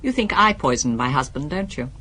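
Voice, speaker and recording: An actress playing a cool, guarded, disdainful wife under police questioning in a radio drama, speaking deliberately. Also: female voice, deep voice, sing-song, bright, forceful tone, breathy edge, heavily acted. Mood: cool, defensive.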